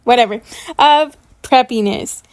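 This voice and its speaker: A woman's voice: fairly high pitch, a little slow, heavy background noise. A woman giving chatty, gushing commentary as a gaming YouTuber unboxing items in a game. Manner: enthusiastic, gushing.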